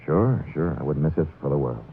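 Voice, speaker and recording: An actor playing a wry, hard-boiled male private detective in a vintage radio crime drama, narrating and talking in a laconic, deadpan way. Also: a bass voice, a little fast, creaky, slightly rough, full character voice. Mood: calm, easy. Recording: muffled vintage radio recording, music behind.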